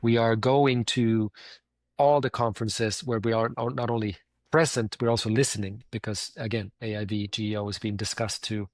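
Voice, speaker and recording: A man's voice: lowish voice, steady tone, normal speed, no echo. A man with a Swedish accent, a company founder answering interview questions in an earnest way, often circling back to restate his points.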